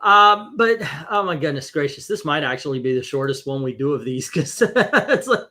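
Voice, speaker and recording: A man's voice: a little high, expressive, moderate pace, bright, forceful tone. Wry man in his fifties. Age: 50s. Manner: wry, reads comic dialogue aloud mockingly. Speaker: comic book podcast host. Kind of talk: casual chat.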